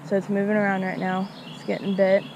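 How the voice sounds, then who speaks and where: A woman's voice: mid-range voice, monotone, fast talker, dull audio, noisy background. A woman casually narrating her fishing outdoors by a small creek, recorded on an action camera, chatty and talking to her viewers. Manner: chatty, repeats words, addresses viewers as guys. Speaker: fishing vlogger.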